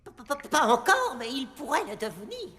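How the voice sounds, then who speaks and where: Timid cartoon puppet, very high, bright, heavy nervous stutter. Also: female voice, sing-song, brisk. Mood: nervous, pleading. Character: timid, stammering, hopeful little puppet. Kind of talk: French animated film dub.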